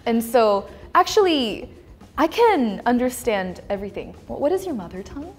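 A woman's voice: fairly high pitch, sing-song, moderate pace, faint music behind. An American woman with an American accent, talking thoughtfully and kindly as a guest on a panel. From United States. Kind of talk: casual panel discussion.

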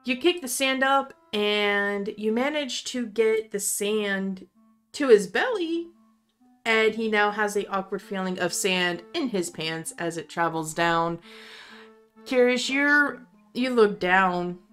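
A woman running a tabletop roleplaying game as game master, narrating with slow, dramatic storytelling. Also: mid-range voice, fairly bright tone, clean tone, soft background music.

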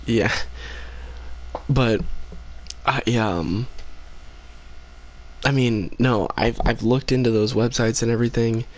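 A man's voice: mid-range voice, steady tone, slow, pauses often, low-quality recording. A tired young man live-streaming a game late at night, chatting casually with viewers in a rambling, laid-back way with lots of fillers. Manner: rambling, laid-back, many fillers, reads chat aloud. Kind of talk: casual live-stream chat while gaming.

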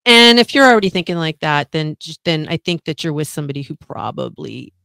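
A woman hosting a casual round-table podcast, upbeat and quick to laugh, speaking into a studio mic. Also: sits low, big pitch swings, creaky, sharp tone, dry recording. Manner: upbeat, laughs often, leads the topics. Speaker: podcast host. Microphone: studio mic on an arm.

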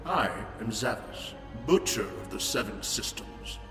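An actor playing a menacing male warlord who talks slowly and grandly, in an echoey space with music. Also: medium pitch, expressive. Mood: gloating. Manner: slow, grandiose, gloating with cackling laughs. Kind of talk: fan audio drama acting. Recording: roomy echo with long reverb and music.

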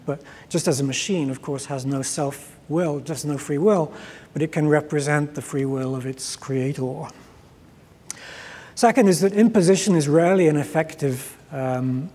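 Husky middle-aged man lecturing in a reverberant hall. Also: fairly high pitch, mellow. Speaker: keynote speaker. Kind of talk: conference keynote, explanatory lecture. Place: large conference stage, indoors. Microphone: clip-on lapel mic.

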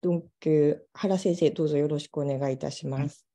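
A woman's voice: deep voice, fairly even intonation, fast talker, dark tone. A middle-aged woman giving a formal, scripted introduction as a seminar moderator on a video call.

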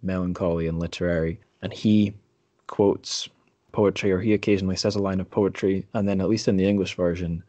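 A man's voice: low voice, fairly even intonation, fairly quick, mellow, no echo. A man hosting a podcast interview in a casual, conversational way. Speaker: podcast host.

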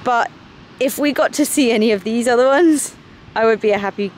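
A woman, chatting excitedly on a travel vlog outdoors by the coast. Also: on the high side, fairly quick, a little hiss or hum, poor audio. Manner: chatty, excited, thinking aloud. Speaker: travel vlogger. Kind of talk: casual travel vlog chat. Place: outdoors on a windy beach and harbor.

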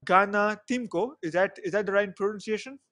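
A man's voice: high voice, fairly quick, fairly bright tone. A young man hosting a podcast over a video call, chatty and full of "you know" fillers, on a headset mic. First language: not English. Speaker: podcast host. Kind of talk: casual interview chat. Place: small room at home on a video call. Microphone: headset microphone.

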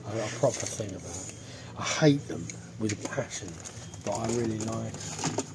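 A man's voice: lowish voice, animated, moderate pace, very noisy. A man in his fifties chatting casually while shopping in a big warehouse store. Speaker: renovation vlogger. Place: inside a large DIY warehouse store. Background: music.

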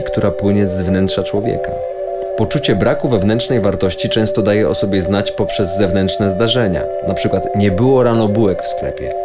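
Casting: male actor as calm, instructive meditation guide for a radio play, lowish voice, measured philosophical delivery, prominent music underneath. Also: lightly acted. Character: calm male guide leading a dreamy levitation exercise. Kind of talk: scripted guided-meditation audio play narration.

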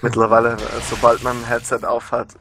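A male co-commentator from Austria with a light Austrian accent breaks down the match analytically and laughs easily. His tone is bright and forward at an ordinary pitch. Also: completely flat delivery, fast. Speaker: esports co-commentator. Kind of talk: live game commentary.

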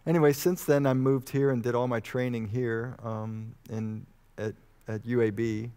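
A man in his fifties from Texas answering as a medical expert in an informal interview, speaking in a measured, hesitant, explanatory way. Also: medium pitch, fairly even intonation, mellow, smooth. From Houston, Texas, United States. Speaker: medical expert guest, vaccine researcher. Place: on stage in a church auditorium. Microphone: headset mic.

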